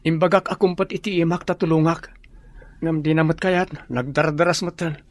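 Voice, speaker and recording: A man's voice: a little high, fairly even intonation, normal speed, no room sound, natural voice. A man acting a watchful, scheming man who urges and explains in a radio drama. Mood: chiding. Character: scheming, watchful adult man giving instructions.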